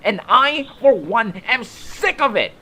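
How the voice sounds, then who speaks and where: A man's voice: very high voice, big pitch swings, takes it slowly, sharp tone, slight background noise, heavily acted. A man playing a resentful, whiny fantasy creature in an audio drama, griping and blustering as a would-be mutineer. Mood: indignant.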